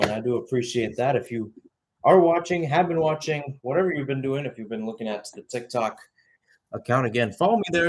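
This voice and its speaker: A young man giving live radio-style soccer play-by-play, drifting into conversational, rambling asides and correcting himself as he goes. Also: medium pitch. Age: young adult. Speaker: play-by-play commentator.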